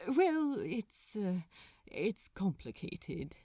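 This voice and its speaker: Storybook role: fretful, well-mannered gentleman played by a woman, hesitant, with swooping intonation. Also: medium pitch, big pitch swings, drawn-out words, exaggerated voice. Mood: hesitant, awkward. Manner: hesitant, polite, anxious. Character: flustered, fretful, politely proper man, Aziraphale.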